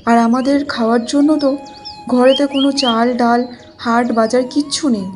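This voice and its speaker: A woman's voice: on the high side, fairly even intonation, fairly quick, background noise, lightly acted. A woman playing a poor, elderly village mother in a cartoon, speaking in a plaintive, affectionate nagging way. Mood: pleading, worried. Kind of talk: Bengali cartoon story dialogue.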